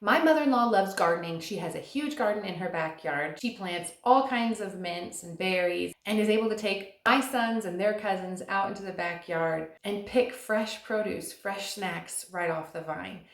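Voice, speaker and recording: A woman in her thirties giving earnest, encouraging advice to camera, slowing down to stress key words. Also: medium pitch. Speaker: vlogger and stay-at-home mom. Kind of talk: scripted advice talk to camera. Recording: echoey room.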